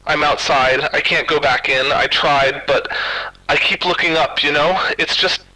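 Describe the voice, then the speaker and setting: A man's voice: fairly high pitch, fairly even intonation, a little slow, sharp tone, rough recording. A man playing a frightened, resigned caller to a late-night radio show, hesitant and uneasy. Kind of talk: radio drama phone call.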